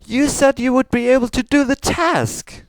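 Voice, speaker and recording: A man's voice: extremely high pitch, moderate pace, vocal fry, dry recording, pitched above the performer's own voice. A man voicing an imperious, pushy female pony princess who commands and soothes with condescending sweetness in a fantasy story. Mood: furious.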